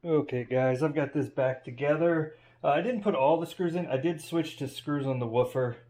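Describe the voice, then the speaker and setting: A man's voice: on the high side, moderate pace. Casual man talking over a noisy hum. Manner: informal, thinking aloud. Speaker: DIY audio channel host. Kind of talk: casual hands-on workshop demonstration talk. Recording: steady background noise and hum.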